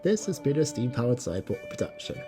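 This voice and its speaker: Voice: medium pitch, very animated, a little fast, music in the background. An announcer reading scripted end credits for an audio production.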